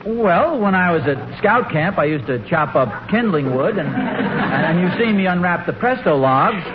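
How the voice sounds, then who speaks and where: An actor playing a mild, middle-aged father in a 1940s radio comedy, hesitant and gently defensive. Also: high voice, animated, a little slow, a crowd in the background. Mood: sheepish. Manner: hesitant, stammering. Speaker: father in a family sitcom. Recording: noisy, muffled old radio recording.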